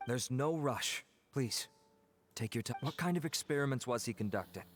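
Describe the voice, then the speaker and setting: A man plays a courteous, composed official in a video game. His mid-pitched voice moves with lively intonation, over faint music. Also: normal speed, lightly acted. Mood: calm, procedural. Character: calm, courteous male officer of an academy. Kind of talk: video game dialogue acting.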